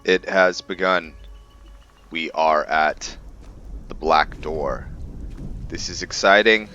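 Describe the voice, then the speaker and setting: Very deep-voiced gamer musing aloud. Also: male voice, takes it slowly, sharp tone, dull audio, faint music behind. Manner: thinking aloud, musing and self-correcting. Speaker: gamer narrating a roleplay playthrough. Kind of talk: casual let's-play commentary.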